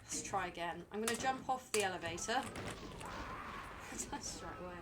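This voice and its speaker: A woman's voice: mid-range voice, moderate pace, heavy background noise. A British woman streaming a game, talking to herself and chatting to viewers in a dry, rambling way. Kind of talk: live gameplay commentary.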